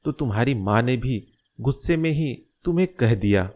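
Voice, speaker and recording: Reassuring cartoon father voiced by a man, medium pitch. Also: lively intonation, moderate pace, darkish tone, lightly acted. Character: caring, reassuring middle-aged father advising his young daughter. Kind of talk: Hindi moral-story cartoon dialogue.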